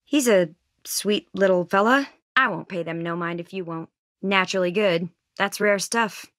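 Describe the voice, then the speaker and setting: A woman's voice: mid-range voice, normal speed, a bit bright, no room sound, studio quality, lightly acted. A woman playing an earnest, anxious teenage girl survivor in a video game, friendly and folksy but hesitant and weighed down by guilt. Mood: friendly, upbeat. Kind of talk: naturalistic video game voice acting.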